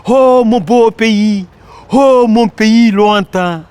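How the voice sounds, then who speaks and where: A man from Casamance, Senegal, an actor delivering a theatrical one-man monologue in a declamatory, poetic way with dramatic pauses. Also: high-pitched, darkish tone, smooth, dry recording.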